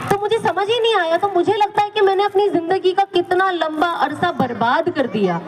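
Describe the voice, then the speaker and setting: A woman's voice: very high voice, a little fast, in a large hall. A woman giving an earnest Islamic talk. She repeats phrases for emphasis. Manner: earnest, repeats phrases, acts out quoted people. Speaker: religious speaker.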